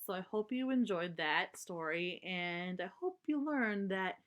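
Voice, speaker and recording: A woman's voice: on the low side, lively intonation, a little slow, fairly bright tone. A woman reading a children's storybook aloud, sometimes stumbling over words and repeating them. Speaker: storybook reader.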